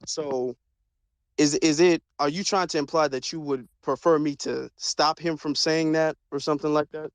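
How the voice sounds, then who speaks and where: A man hosting an online audio discussion, speaking in a measured, hesitant way with many fillers. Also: on the high side, fairly even intonation, clean tone, no echo. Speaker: host of audio chat room.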